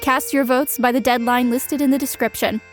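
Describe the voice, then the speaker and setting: A woman reads a scripted announcement as a show's announcer. Her pitch is a little high. Music plays faintly underneath. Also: steady tone, normal speed. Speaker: show announcer.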